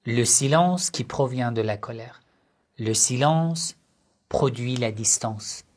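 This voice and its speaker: A man giving a religious lesson on family life, speaking in short, measured phrases and asking rhetorical questions that he then answers himself. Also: medium pitch, darkish tone. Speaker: religious teacher.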